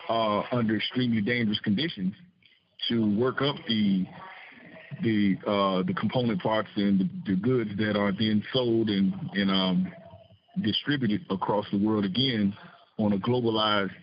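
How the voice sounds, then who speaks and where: A man leading a political discussion, explaining in a halting, didactic way. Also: lowish voice, fairly even intonation, lo-fi sound, a little hiss or hum. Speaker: discussion host.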